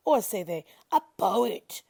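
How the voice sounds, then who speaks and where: A woman reading religious scripture aloud expressively, with measured pauses and dramatic emphasis. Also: medium pitch, very animated, vocal fry, a little mellow, husky, dry recording, studio quality. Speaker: reader of scripture.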